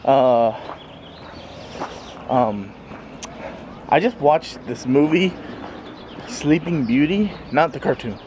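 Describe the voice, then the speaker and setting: A man's voice: on the high side, lively intonation, slow pace, long pauses, darkish tone. A man in his thirties casually rambling to a handheld camera in a sunny backyard, with lots of fillers and chuckles. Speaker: vlogger. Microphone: handheld camera at arm's length. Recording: faint outdoor background noise.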